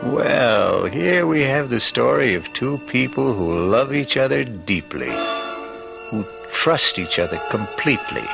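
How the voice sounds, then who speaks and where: Gravelly, wry male radio mystery host. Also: mid-range voice, very animated, highs cut off, over loud music. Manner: wry, knowing, deliberate. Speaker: radio drama host and narrator. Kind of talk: theatrical scripted narration, old-time radio.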